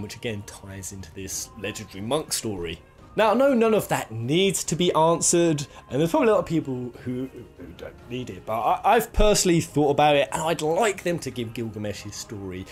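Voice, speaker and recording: A man hosting a countdown video, giving enthusiastic opinion commentary in an informal, rambling and emphatic way. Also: medium pitch. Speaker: host of a gaming countdown video.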